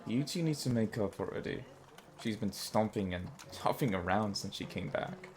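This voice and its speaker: Man acting laid-back, low-voiced young joker. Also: expressive, normal speed, a little hiss or hum, natural voice. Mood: candid, nudging. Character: easygoing, teasing young man, casual drinking companion. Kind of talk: audio drama acting, casual banter.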